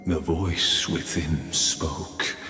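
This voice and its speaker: A grave storyteller of heroic legend in a game, very low and extremely slow. His theatrical delivery rings in a long reverb over clear music. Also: fairly even intonation, heavily acted. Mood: solemn, grand. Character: solemn male storyteller of an epic legend. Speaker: narrator. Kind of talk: scripted epic narration.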